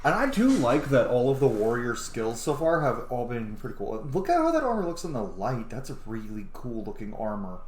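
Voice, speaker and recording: A man's voice: mid-range voice, huge pitch swings, brisk, few pauses, echoey room, slight background noise. A man giving casual, informal commentary on a video game playthrough, thinking aloud as he goes. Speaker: gaming video host. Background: game music.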